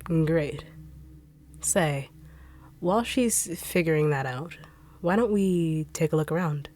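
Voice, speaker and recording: A young woman voicing a blunt, sharp-tongued treasure-hunting bodyguard in an audio roleplay drama, brusque and mocking. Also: low-pitched, lively intonation, takes it slowly, a little hiss or hum.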